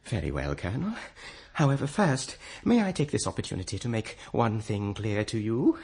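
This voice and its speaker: A man acting a polite but flustered British RAF officer in a radio play, with an upper-class English accent, breathy voice and exasperated stammer. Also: lowish voice, very animated, moderate pace, darkish tone, heavily acted. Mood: coolly restrained.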